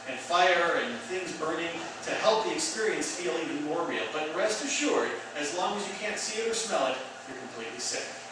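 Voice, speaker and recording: A man presenting a radio theatre show with a chatty scripted introduction. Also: a little high. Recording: very echoey, distant mic, clear background noise.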